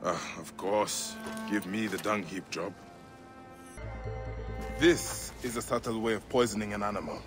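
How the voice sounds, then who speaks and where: An actor playing a grim, principled ancient Egyptian warrior in a video game, speaking with earnest intensity. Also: male voice, fairly low pitch, fairly even intonation, a little slow, pauses often, music in the background, lightly acted. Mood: dry, wary. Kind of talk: dramatic video game acting.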